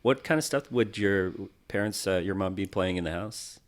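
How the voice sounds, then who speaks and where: Low voiced guy host, laid back, asking questions with lots of uhs. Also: moderate pace. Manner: relaxed, hesitant with "uh" and "you know". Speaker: podcast host. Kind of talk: interview questions.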